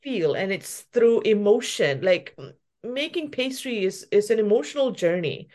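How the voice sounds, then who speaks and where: Guest: middle-aged woman from Malaysia, pastry chef, candid and reflective, quick to laugh. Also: mid-range voice, animated, a little mellow. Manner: reflective, laughs often while telling her story. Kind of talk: casual interview conversation.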